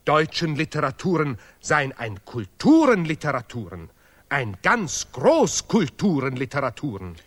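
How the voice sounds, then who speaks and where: A man's voice: fairly high pitch, sing-song, measured pace. An actor playing a zealous, self-important male laureate, pious and bigoted, speaking emphatic broken grammar in an absurdist radio play.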